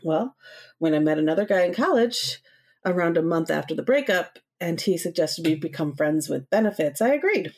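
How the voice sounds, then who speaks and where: A woman's voice: fairly low pitch, expressive, normal speed. A young woman co-hosting a casual podcast, easygoing and a bit hesitant, reading out a listener letter. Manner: easygoing, hesitant, backtracks while telling. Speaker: podcast co-host.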